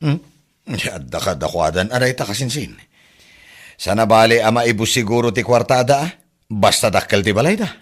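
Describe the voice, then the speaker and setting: A man's voice: lowish voice, animated, brisk, breathy edge. A man with an Ilocano accent playing a modest cousin who hopes to fix up his house, in a comedy radio drama. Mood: friendly.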